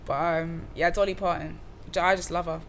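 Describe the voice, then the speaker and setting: A woman's voice: lowish voice, steady tone, a little fast, slight background noise. A young woman from Cardiff, chatting casually in an interview with loose slang, fillers and restarts. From Cardiff, Wales. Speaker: musician guest.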